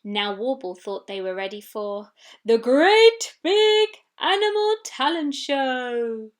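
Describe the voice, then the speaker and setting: A woman's voice: high-pitched, big pitch swings, takes it slowly. A woman reading a children's storybook expressively, with dramatic delivery of sound words. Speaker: story reader.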